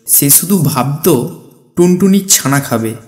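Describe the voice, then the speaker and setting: High-voiced man narrating a children's tale. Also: lively intonation, a little slow, darkish tone, faint music behind. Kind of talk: scripted children's story narration.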